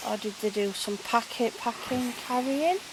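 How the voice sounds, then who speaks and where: A woman's voice: a little high, normal speed. A woman on an outdoor ghost hunt, asking spirits curious questions in a chatty manner. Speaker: paranormal investigator. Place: outdoors at a woodland waterfall site. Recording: hissy outdoor recording.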